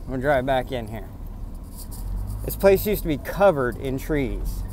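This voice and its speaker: A man's voice: medium pitch, big pitch swings, a little slow, darkish tone, a little hiss or hum. A man vlogging while driving around rural farmland, talking in an indignant, rambling way and repeating himself. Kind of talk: casual rant to camera. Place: in a pickup truck on farm roads, then outdoors on rural land.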